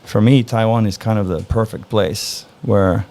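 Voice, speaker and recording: A man from Norway answering interview questions in a relaxed, thoughtful way, pausing often to find his words. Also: fairly low pitch. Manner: relaxed, thoughtful, frequent "uh" pauses. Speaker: interview guest.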